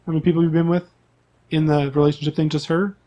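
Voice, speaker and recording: Higher-voiced man running a tabletop game. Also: flat delivery, fast, mellow. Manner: prompting players with questions, checking and repeating answers. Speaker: game master. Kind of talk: casual tabletop role-play session chat.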